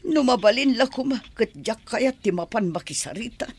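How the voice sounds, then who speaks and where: A woman playing a proud, fussy middle-aged mother in a radio drama, with an Ilocano accent and drawn-out, expressive delivery. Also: a little high, breathy edge. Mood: disapproving.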